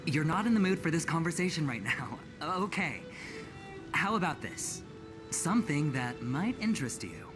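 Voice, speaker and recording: A flippant, sly businesswoman in a video game. Her delivery swoops animatedly as she teases and mocks, with music clearly playing behind. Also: low-pitched, sing-song, normal speed. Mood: coaxing, sly. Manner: playful, mocking. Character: smug, teasing female corporate colleague, flippant and sly. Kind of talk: scripted video game dialogue.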